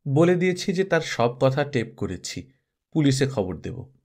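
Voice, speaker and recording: Man voicing anxious mother, devoted, earnest, pleading, animated intonation, audiobook drama. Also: mid-range voice, a little slow. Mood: anxious confiding. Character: anxious, devoted young mother writing a pleading farewell letter.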